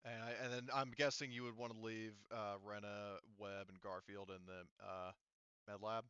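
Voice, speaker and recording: A man acting as game master, casually narrating and refereeing a tabletop game in a halting, think-aloud way. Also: lowish voice, little pitch movement, fairly bright tone, clean tone, dry recording, muffled recording. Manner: halting, thinking aloud while working out details.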